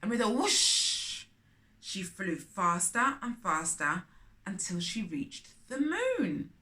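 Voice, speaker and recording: A woman storytime host with a bright voice and lively, swooping intonation. She reads a picture book aloud to children at a slow pace, dropping in chatty asides. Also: medium pitch.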